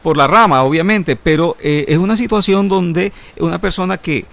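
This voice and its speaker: Male criminal-law guest, gravelly and slightly high-pitched, explains at length with fillers. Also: moderate pace, fairly bright tone. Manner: lecturing, legal jargon, frequent hesitations. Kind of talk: radio panel discussion.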